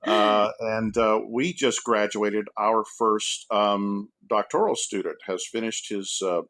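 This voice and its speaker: A man speaking as a professor guest on a podcast, in a measured, halting, scholarly and conversational way. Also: fairly low pitch, little pitch movement, a bit bright. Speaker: academic guest, university professor. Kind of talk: casual podcast interview.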